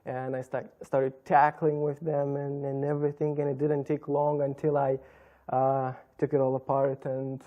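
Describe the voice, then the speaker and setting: A young man with a Lithuanian accent, answering interview questions as a guest in a measured, explanatory way. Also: mid-range voice, completely flat delivery, phone-quality sound. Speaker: computer business guest. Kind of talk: interview talk. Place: TV studio in a hotel.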